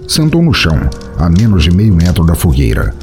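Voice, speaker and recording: A man narrates a dark fantasy story in a low, mellow voice. His storytelling is theatrical and scripted, with faint music playing behind him. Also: wildly swooping pitch, fairly quick.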